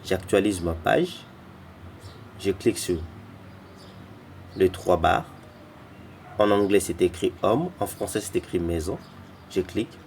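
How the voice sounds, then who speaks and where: Low gravelly man walking through an app. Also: darkish tone, a little hiss or hum. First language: not English. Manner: halting, repeats words and restarts phrases. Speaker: app tutorial presenter. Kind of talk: casual screen-recorded walkthrough.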